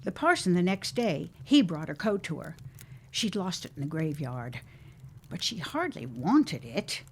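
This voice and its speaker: A woman's voice: on the low side, big pitch swings, unhurried, slight background noise. An actress playing a woman who tells an eerie ghost tale in a grave, suspenseful, theatrical way for an audio drama.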